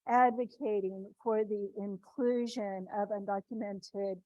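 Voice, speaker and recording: A woman's voice: mid-range voice, fairly even intonation, a little slow, no room sound, dull audio. A woman from the Midwest giving an informal talk to students, speaking conversationally with frequent restarts and tag questions.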